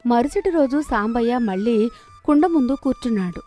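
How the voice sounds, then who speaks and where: A woman's voice: a little high, lively intonation, moderate pace, dark tone, faint music behind. A woman storyteller narrating a scripted moral story.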